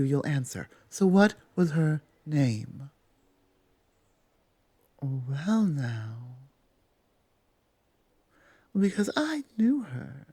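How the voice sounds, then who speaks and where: A woman playing a stern female minotaur guard captain with a soft heart in an ASMR roleplay, speaking deliberately with weighty pauses. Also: sits low, no room sound, full character voice. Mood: interrogating, firm. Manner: deliberate, pausing, commanding. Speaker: audio roleplay performer. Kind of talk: ASMR roleplay acting, addressing the listener.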